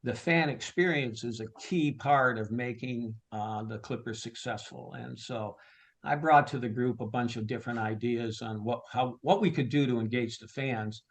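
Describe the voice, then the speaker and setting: A man's voice: medium pitch, normal speed. A man who works as a sports public address announcer, giving casual, conversational interview answers.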